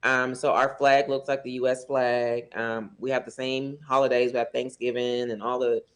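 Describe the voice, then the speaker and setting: A man's voice: medium pitch, little pitch movement, normal speed, a bit bright, smooth. A man from Liberia speaking earnestly as a guest on a talk show, with many fillers. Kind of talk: casual interview talk.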